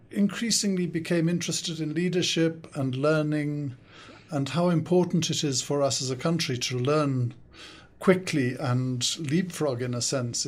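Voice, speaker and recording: An older man with an English accent talks as a podcast guest in a studio. His pitch sits in an ordinary middle range. He answers thoughtfully and pauses often as he gathers his thoughts. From South Africa, raised in the UK. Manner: thoughtful, measured, with frequent hesitations. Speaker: guest, consultant and coach. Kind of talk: podcast interview.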